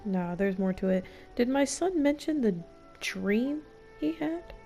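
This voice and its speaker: Slow-talking woman streaming a game, theatrical. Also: on the high side. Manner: theatrical, deadpan joking. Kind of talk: playful live gameplay commentary with improvised dramatics. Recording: game music behind the voice.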